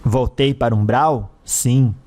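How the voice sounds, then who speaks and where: A cruel lord of a dark spirit realm played by a male storyteller with a Brazilian accent. He speaks slowly and imperiously in a dark, mellow tone, taunting and threatening his listeners. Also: mid-range voice, fairly even intonation, close to an everyday voice. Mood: stern, self-justifying.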